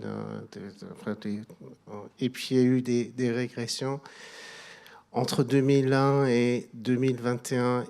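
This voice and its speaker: Guest speaker: middle-aged Afghan-accented man, mid-pitched, earnest, stumbling repeats. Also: darkish tone, smooth. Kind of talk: testimony at a public event. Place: hall at a public evening event.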